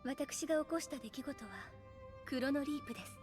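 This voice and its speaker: A woman's voice: sits high, brisk, natural voice. A woman voicing a refined, composed young noblewoman with polite, ladylike speech in an anime game, over music. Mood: composed, earnest. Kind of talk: Japanese anime game voice acting. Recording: music behind the voice.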